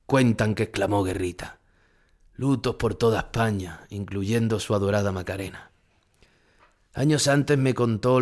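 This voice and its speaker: An actor playing an aging, haunted Spanish bullfighter who recalls his past gravely in a dramatic audio monologue. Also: fairly low pitch, natural voice. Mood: mournful, reflective.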